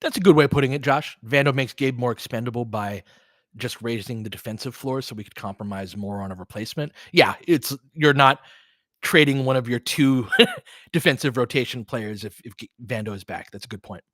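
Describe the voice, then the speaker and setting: A man's voice: mid-range voice, brisk, fairly bright tone, no echo, clean studio sound. A man hosting a live basketball stream, giving casual, analytical commentary and chatting with viewers in between short reactions to the play.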